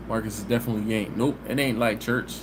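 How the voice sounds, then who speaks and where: A man's voice: lowish voice, fairly even intonation. A man, hosting a casual live stream from home on his phone and chatting easygoing with viewers. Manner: easygoing and chatty, with drawn-out "mm-mm" hums. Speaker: barbecue channel host. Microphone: phone camera. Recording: live stream audio with background hiss.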